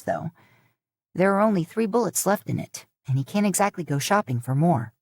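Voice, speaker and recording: A woman reading a story aloud in a calm, steady narration. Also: fairly low pitch, no room sound, studio quality. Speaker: podfic narrator. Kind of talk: scripted audiobook narration.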